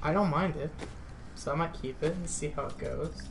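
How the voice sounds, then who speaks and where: A woman's voice: low voice, normal speed. A woman chatting casually while streaming a co-op video game. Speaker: co-op gaming streamer. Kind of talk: casual gameplay chat. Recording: clear background noise from game stream.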